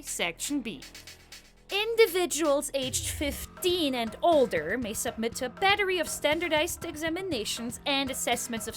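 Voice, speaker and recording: A woman voicing a cocky, defiant sixteen-year-old girl in an animated comic dub. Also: high voice, full character voice. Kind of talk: animated fandub voice acting.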